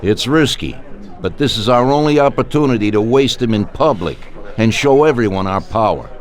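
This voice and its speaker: An actor playing a ruthless mafia boss in a video game, speaking with deliberate authority. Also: male voice, mid-range voice, lively intonation, lightly acted. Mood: commanding. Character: commanding, ruthless mafia boss sending out his killers. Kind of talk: dramatic video game dialogue.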